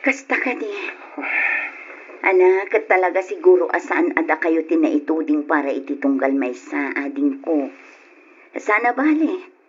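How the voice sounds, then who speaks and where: Reproachful, pleading woman for old radio drama; breathy-rough voice, emotional, muffled recording. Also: low voice, animated, unhurried, pauses often, heavily acted. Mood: stern scolding.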